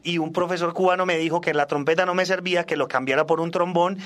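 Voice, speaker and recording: A man's voice: a little high, fairly even intonation, fast talker, a bit bright. A man in his forties from Australia, a native English speaker, chatting casually as a musician guest in a radio interview. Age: 40s. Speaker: salsa singer and trombonist, guest.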